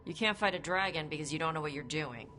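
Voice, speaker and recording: A woman's voice: low voice, fast, bright, forceful tone, soft background music. A woman playing a blunt, confident dragon hunter in a fantasy video game, speaking matter-of-factly. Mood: blunt, dismissive. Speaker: voice actor. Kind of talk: video game cutscene acting.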